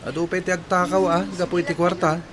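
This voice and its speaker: A man's voice: sits high, level tone, fast talker, crowd noise, lightly acted. A man with a Filipino accent acting an earnest, modest young man in a radio drama.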